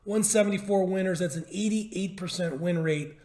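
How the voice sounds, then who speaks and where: A man with a somewhat high, hoarse voice walks through stock charts in a market update. He drives his points home with emphasis, repeats them and throws out rhetorical questions. The voice rings in an echoey room. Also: normal speed, a little mellow. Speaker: stock trading educator.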